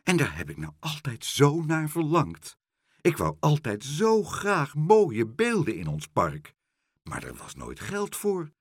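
A reader doing a mild, dreamy storybook mayor, swooping pitch. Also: male voice, huge pitch swings, slow, slightly rough, no room sound, clean studio sound, heavily acted. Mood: awed delight. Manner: unruffled, musing, gently pleased. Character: mild, dreamy, delighted mayor who loves art. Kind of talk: children's storybook character voice.

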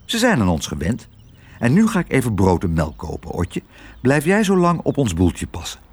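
An optimistic drifter dad from a storybook, played by a man reading aloud, unbothered and reassuring. His husky voice rises and falls freely as he talks in a cheerful, easy way. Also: mid-range voice, wildly swooping pitch, normal speed. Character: easygoing, optimistic vagabond father.

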